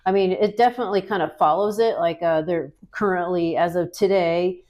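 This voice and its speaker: A woman hosting an interview as a realtor, asking questions in a relaxed, halting way with many fillers. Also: lowish voice. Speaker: host and realtor. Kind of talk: casual interview talk.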